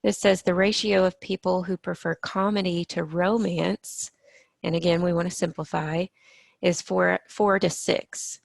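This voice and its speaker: A woman teaching a middle-school math lesson, explaining each step patiently and sounding a little hoarse from allergies. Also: lowish voice, level tone, a little slow, dry recording.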